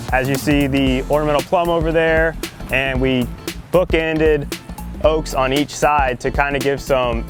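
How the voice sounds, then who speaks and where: Man, mid-pitched, easygoing walkthrough presenter over a music bed. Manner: easygoing, plain-spoken, explaining as he walks. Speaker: landscaping company presenter. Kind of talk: casual walkthrough of a finished project.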